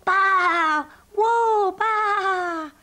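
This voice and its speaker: Woman doing a high, excitable bleating sheep. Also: slow, full character voice. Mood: gleeful. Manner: exclamatory, bleating. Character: excitable bleating female sheep, silly and playful. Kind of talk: children's storybook reading.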